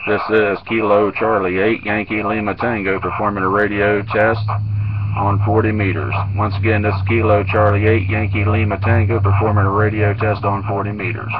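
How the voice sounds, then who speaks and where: A man's voice: little pitch movement, normal speed. A middle-aged man giving a casual hands-on demo as a radio hobbyist, recorded on a handheld camera at a workbench. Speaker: amateur radio hobbyist demonstrating equipment. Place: cluttered indoor radio workbench. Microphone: handheld camera mic. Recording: muffled low-fi camera audio, loud radio static. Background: radio static and hiss.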